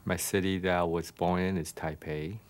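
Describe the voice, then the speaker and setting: A man from Taiwan who teaches kung fu, introducing himself slowly and deliberately. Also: sits low, level tone. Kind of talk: self-introduction.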